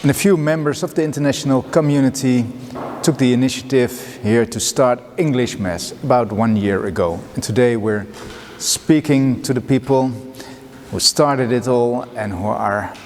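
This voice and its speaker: Male interviewer in a reverberant church; halting, friendly questions. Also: mid-range voice, darkish tone. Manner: hesitant, friendly questions with fillers. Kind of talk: church community video interview. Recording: long reverb, faint noise.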